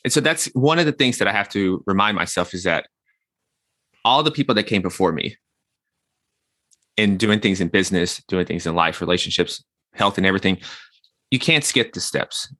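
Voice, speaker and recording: A man in his thirties with a lowish voice and a bright, forceful edge to it. He talks as an interview guest and works through his points with homely comparisons. The sound is close and dry. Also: moderate pace, pauses often, no echo. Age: 30s. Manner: reflective, uses everyday analogies. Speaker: guest, health consultant. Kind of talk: conversational interview.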